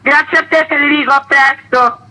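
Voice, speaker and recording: A woman festival curator giving a radio interview, explaining a programme as a guest with a husky voice. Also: high-pitched, steady tone, moderate pace, bright, forceful tone, faint music behind, rough recording.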